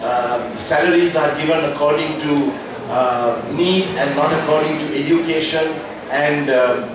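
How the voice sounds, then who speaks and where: A man in his fifties from Sri Lanka talks in a thoughtful, halting way, often restarting his sentences. His voice sits a little high for a man and comes from a distance, with heavy room echo and noticeable background noise. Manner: thoughtful, hesitant, repeating starts. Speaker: conference speaker at a press briefing. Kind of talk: reflective talk with light laughs.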